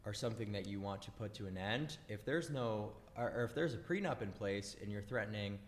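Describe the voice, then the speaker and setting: Low-voiced man with reverb. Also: lively intonation. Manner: halting, many uh and you know. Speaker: host giving advice. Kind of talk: casual opinion talk.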